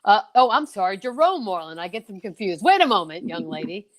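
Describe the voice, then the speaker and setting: A woman's voice: mid-range voice, very animated, quick pace, lightly acted. A woman acting the part of a male doctor in a radio mystery, official and questioning. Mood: puzzled, official. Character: male doctor, brisk and questioning, at a death scene. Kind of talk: old-time radio drama acting.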